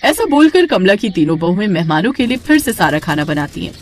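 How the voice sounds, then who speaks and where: A woman's voice: lowish voice, very animated, a little fast, slight background noise. A woman narrating a scripted moral tale as a storyteller.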